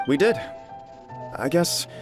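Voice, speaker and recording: An actor playing a polite, devoted young nobleman in a video game, speaking earnestly and with measured courtesy. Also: fairly high pitch, lively intonation, music in the background.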